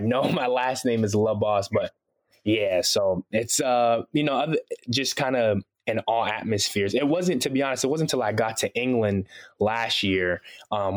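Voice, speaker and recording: A young man from Haiti who grew up in Boston, with an American accent, chatting casually as a podcast guest, laughing often and using lots of fillers. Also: lowish voice. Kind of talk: casual interview chat.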